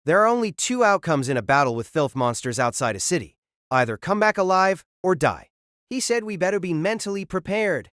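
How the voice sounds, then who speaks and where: A man playing an authoritative young student council president in an audio drama, speaking in a formal, measured way. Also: high-pitched, animated, fairly bright tone, no room sound, studio quality. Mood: grave, firm.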